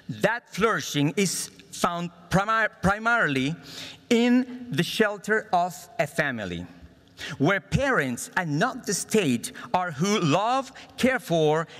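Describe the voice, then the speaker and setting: A Chilean man with a Spanish accent delivers a formal speech at a conference. His voice is notably high for a man and rings through a reverberant hall. He reads in an earnest, deliberate way as a non-native speaker. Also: a bit bright. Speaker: conference speaker at a political summit. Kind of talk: formal prepared political speech read aloud. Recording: reverberant large hall sound.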